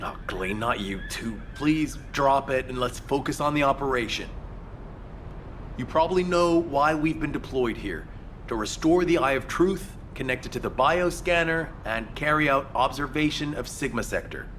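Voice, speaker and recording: Actor as male game squad leader, mid-pitched, earnest, businesslike, faint rumble behind. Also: lively intonation, moderate pace, a bit bright, lightly acted. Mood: flustered. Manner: businesslike, crisp briefing delivery. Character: earnest by-the-book male operations leader, easily exasperated. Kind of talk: video game voice acting.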